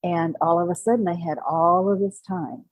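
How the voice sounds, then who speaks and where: A woman's voice: on the low side, steady tone, moderate pace, no echo. A woman in her sixties chatting casually as an author being interviewed on a podcast. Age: 60s.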